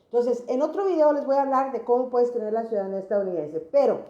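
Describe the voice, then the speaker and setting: High-voiced woman in an echoey room. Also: quick pace, warm tone. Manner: didactic, repeats phrases for emphasis. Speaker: host of an immigration advice channel. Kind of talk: informal explainer talk to viewers. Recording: echoey room, dull lo-fi sound.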